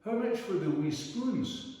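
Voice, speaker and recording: A man voicing a small, brisk, imperious Scottish matriarch out shopping, curt and matter-of-fact, in an anecdote. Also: sits high, expressive, mellow, pitched above the performer's own voice. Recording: echoey hall, distant microphone.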